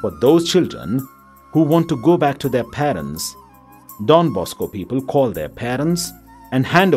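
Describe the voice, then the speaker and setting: A man reading a scripted documentary narration in a measured way. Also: medium pitch, darkish tone. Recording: voice-over over background music. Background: music.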